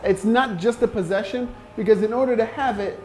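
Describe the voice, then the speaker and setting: A man in his twenties with a distinctly high voice speaks casually in an interview. There is slight noise around him. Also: fairly quick, darkish tone. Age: 20s. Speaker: moped club member, substitute teacher.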